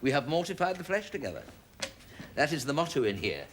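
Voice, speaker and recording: A man's voice: fairly high pitch, very animated, brisk. A man playing a fussy, flustered middle-aged bachelor son who dithers and apologises with giggly asides. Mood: jovial. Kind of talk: sitcom acting. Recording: sitcom track with loud noise.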